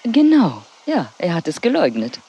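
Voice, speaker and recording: An evasive old widow in a radio drama. Her voice is gravelly and leaps widely in pitch as she insists on her points. Faint ambience runs behind her. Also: wildly swooping pitch, normal speed, lo-fi sound, slight background noise. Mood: emphatic. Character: spirited, evasive old widow of a secretive writer. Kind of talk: German radio drama acting.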